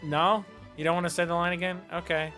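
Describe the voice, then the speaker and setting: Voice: mid-range voice, flat delivery, brisk, fairly bright tone. A streamer giving casual, joking, flippant commentary over a game. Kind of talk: casual livestream gameplay commentary. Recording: faint game music behind.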